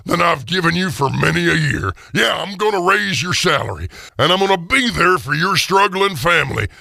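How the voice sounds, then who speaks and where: Creaky, growling old miser turned kind. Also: male voice, high-pitched, bright, forceful tone, no echo, clean studio sound, voice pushed up, heavily acted. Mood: joyful. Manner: halting, folksy, stammering. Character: reformed old miser, gruff but giddily kind. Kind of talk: audio drama of a classic Christmas story.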